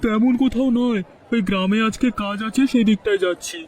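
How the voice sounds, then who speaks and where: A man's voice: high voice, fairly quick, darkish tone, a faint crowd, slight background noise, natural voice. A man voicing a poor, bitter village father in a cartoon, defensive and complaining. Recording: cartoon audio with music behind.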